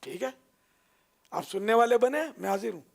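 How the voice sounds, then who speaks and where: A man telling a children's moral story in an expressive way, addressing his young listeners directly and drawing out phrase endings for emphasis. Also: high-pitched, moderate pace, vocal fry.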